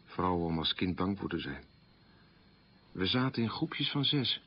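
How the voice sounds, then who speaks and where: A man's voice: sits low, big pitch swings, a little slow, muffled recording, a little hiss or hum, heavily acted. An actor playing a proud, wounded man recalling a humiliating wartime childhood in a restrained radio monologue. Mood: bitter recollection. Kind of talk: radio drama monologue, confessional storytelling.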